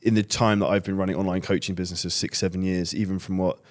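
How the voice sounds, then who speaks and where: A low-voiced man hosts a podcast at a quick clip. His questions and remarks come in casual, blunt language with swearing mixed in. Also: level tone. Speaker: podcast host, online business coach. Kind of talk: casual interview chat.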